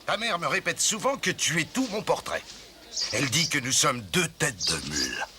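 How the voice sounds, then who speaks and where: A man voicing a stern, stubborn, middle-aged weaver father in a French cartoon, with a gruff manner. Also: on the high side, huge pitch swings, normal speed, noisy background. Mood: proud, reminiscing. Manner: gruff, admonishing.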